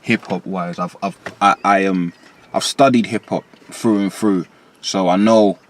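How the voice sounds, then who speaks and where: A man with a London accent, a rapper guest in a candid interview, telling stories in an animated way with London slang. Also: unhurried. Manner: lively, rising pitch when quoting himself.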